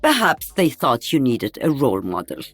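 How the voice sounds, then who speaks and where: Game role: older woman sniper veteran, dry teasing wit, deep female register, animated intonation. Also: moderate pace, breathy edge, dry recording, faint music behind, heavily acted. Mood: wry. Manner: wry, teasing.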